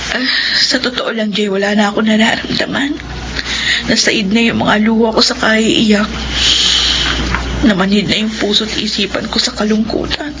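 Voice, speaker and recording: High-voiced Filipina actress as a devoted grieving sister. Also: female voice, lightly acted. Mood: numb grief. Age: young adult. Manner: emotional, earnest, pleading. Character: Divina, devoted eldest sister, grieving and self-sacrificing young woman. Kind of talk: Filipino radio drama acting. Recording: noisy radio drama track.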